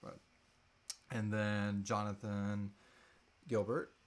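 Low-voiced man chatting haltingly. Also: little pitch movement. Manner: halting, trailing off mid-thought. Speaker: host answering audience questions. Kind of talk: casual live Q&A chat. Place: small indoor room.

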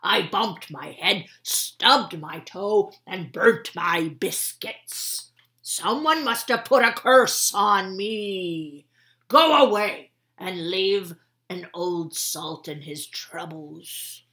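A woman voicing a gruff, hearty old male sea-captain frog in a storybook read-aloud. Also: medium pitch, exaggerated voice. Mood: grumbling. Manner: loud, drawn-out and gruff.

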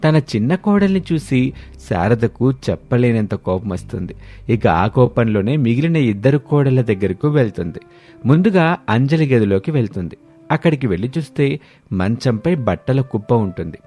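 A man's voice: medium pitch, very animated, moderate pace, dark tone. A man reading scripted narration for a family story as its narrator. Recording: music behind the voice.

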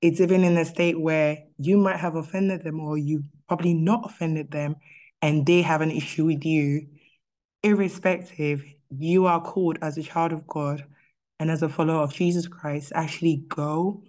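A woman's voice: low voice, steady tone, moderate pace, a little mellow. A woman speaking casually and personally about faith in an online group, sometimes searching for her words. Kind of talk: personal Bible reflection, casual.

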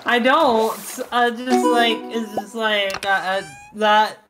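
A woman with a squeaky voice in casual stream chat, excitable and cheerful. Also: slow pace, rough recording. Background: game music.

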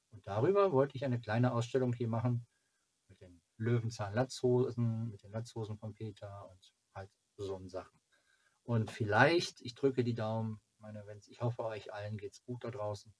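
A man in his forties from Germany chatting casually to camera as a fan channel host, in a halting, rambling way. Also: medium pitch, darkish tone. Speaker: fan club video host. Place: small cluttered home room.

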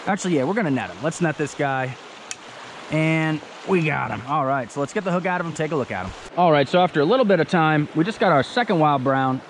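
A young man vlogging as he fishes outdoors by a creek, chatting in a relaxed, eager way into an action camera he wears. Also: on the high side, background noise. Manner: relaxed, chatty, enthusiastic about fish. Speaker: fishing vlogger. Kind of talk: casual outdoor commentary. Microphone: action camera on the body.

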